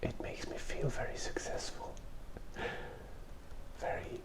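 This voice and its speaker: A person with a cold whispers softly and with pauses, giving ASMR drawing commentary close to the microphone. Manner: soft whispering, hesitant pauses. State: has a cold. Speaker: ASMR host and illustrator. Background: drawing tablet and keyboard sounds.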